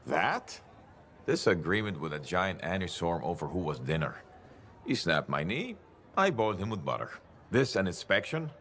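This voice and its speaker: An actor playing a gruff older veteran mercenary pilot in a video game, boastful and good-humoured. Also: male voice, deep voice, big pitch swings, normal speed, fairly bright tone, slight background noise. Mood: boastful, joking. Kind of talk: video game dialogue acting.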